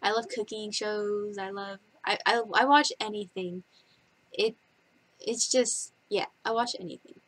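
A woman's voice: medium pitch, steady tone, unhurried, a bit bright, no room sound. A woman in her twenties chatting casually in her bedroom for a vlog, giggly and rambling, with lots of fillers, picked up by a webcam. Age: 20s. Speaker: vlogger. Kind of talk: casual facts-about-me vlog. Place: bedroom, indoors. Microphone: webcam at desk distance.

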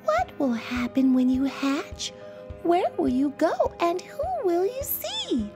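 A woman's voice: high-pitched, huge pitch swings, takes it slowly, over music, voice pushed up, full character voice. A woman voicing a curious, caring little girl in a children's storybook, wondering aloud in a singsong way. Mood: curious, eager.

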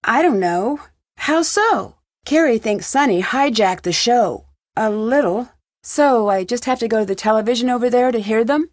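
A woman's voice: fairly high pitch, expressive, moderate pace, no echo, clean studio sound, lightly acted. A woman playing an inquisitive, level-headed young female detective in a video game, with an earnest, probing way of asking questions. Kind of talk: video game voice acting, isolated dialogue lines.

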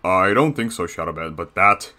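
A crude, self-satisfied cartoon bear boss, acted out by a man, commenting on videos. The voice sits on the low side with a hard, forceful edge, and the manner is bossy and boastful. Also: animated, moderate pace, full character voice. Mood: smug, dismissive. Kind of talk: character reaction commentary.

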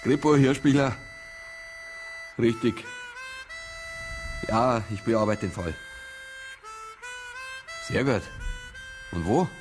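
An actor playing a dry, persistent police detective with a Bavarian accent and a colloquial, matter-of-fact manner. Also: male voice, mid-range voice, animated, slow, long pauses, a little mellow, soft background music. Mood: businesslike, wry.

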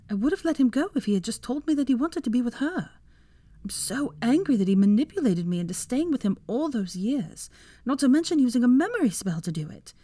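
Woman reading as hurt angry betrayed wife in a story, emphatic, pitch swinging up a lot. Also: mellow, no echo.

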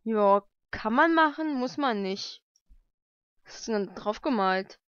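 Casting: young woman, slightly high voice, informal vlogger thinking aloud, dry lo-fi home recording. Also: lively intonation, a little slow, a bit bright, clear, resonant tone, no room sound. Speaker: vlogger unboxing mail-order books. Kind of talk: casual unboxing commentary. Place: lived-in room at home, indoors.

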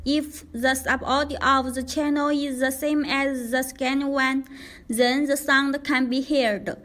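A woman reading a scripted product tutorial in a careful, deliberate way as a demonstrator. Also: high voice, fairly bright tone.

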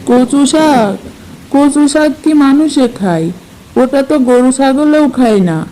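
Grumbling cartoon husband, exasperated, with a mellow, slightly high voice. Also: female voice, a little slow, poor audio. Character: grumbling lazy village husband. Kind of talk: cartoon dubbing.

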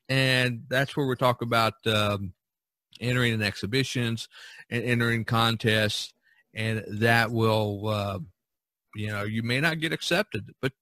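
A man's voice: mid-range voice, pushed and bright, no echo. A man hosting a casual podcast, giving advice in a rambling, folksy way full of "you know". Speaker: podcast host and painter. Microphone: video call recording.

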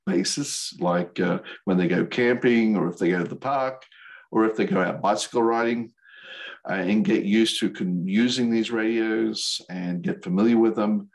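Older American male presenter, deep and creaky, hesitant, explaining slides informally over a video call. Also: low-quality recording. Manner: hesitant, halting delivery. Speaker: volunteer instructor, radio operator.

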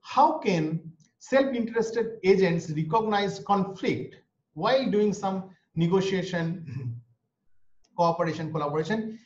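Lively, high-pitched Indian English lecturer, a Bengali speaker, repeating each point over a muffled video call. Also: male voice, a little hiss or hum. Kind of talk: online lecture. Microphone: webcam or laptop mic on a video call. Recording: roomy, dull video-call audio.

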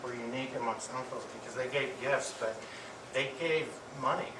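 Middle-aged man, affectionate remembrance speech, halting delivery, distant mic, clear background noise. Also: medium pitch, reverberant. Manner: halting, with pauses and repeated words. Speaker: family speaker giving a eulogy. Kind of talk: eulogy, affectionate personal remembrance to an audience. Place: medium-sized indoor hall with audience.

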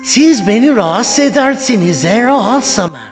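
Woman playing a high-pitched menacing old granny. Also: expressive, natural voice. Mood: menacing, searching. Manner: slow, taunting, repetitive threats. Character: menacing old granny villain hunting a hiding child. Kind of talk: improvised children's family skit. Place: indoors in a home hallway and rooms. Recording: echoey hallway, music behind.

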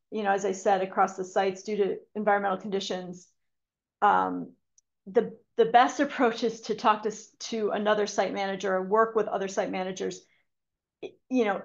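A woman presents a conservation talk over a video call, pausing often with ums and correcting herself mid-sentence. Her voice has a gravelly fry at phrase ends, and the call audio is dull and lo-fi. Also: mid-range voice. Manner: hesitant, frequent ums and restarts. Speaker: conservation speaker giving a talk. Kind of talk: webinar lecture with slides.

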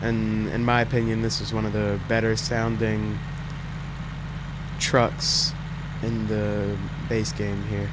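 A young man talks over his gameplay in a stuffy, congested voice, thinking out loud in an easygoing, rambling way. His voice sits low and stays fairly even. A heavy engine drone from the game fills the background. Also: slow pace. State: congested from a cold. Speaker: gaming channel host. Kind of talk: casual gameplay commentary. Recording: loud truck engine game audio behind. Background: loud engine and road noise from the game.

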